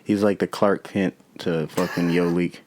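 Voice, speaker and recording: A man's voice: deep voice, unhurried. A man in his late twenties, a podcast guest and rapper, telling stories in casual chat, cursing freely and acting out scenes as he goes. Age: 20s. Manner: animated storyteller, swears freely, acts out scenes.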